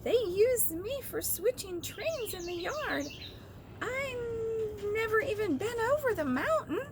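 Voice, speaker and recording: Woman as a high-pitched, earnest little engine. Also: expressive, slow pace, crowd noise, exaggerated voice. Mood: timid. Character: small, modest, kindly little female blue engine, determined.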